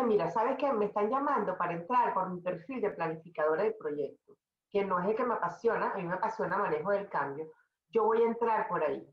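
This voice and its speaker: A middle-aged Venezuelan woman, a podcast guest, telling her career story in a conversational, animated way with many restarts. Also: medium pitch, reverberant, dull audio. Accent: Venezuelan Spanish. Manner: animated, talks in restarts and asides.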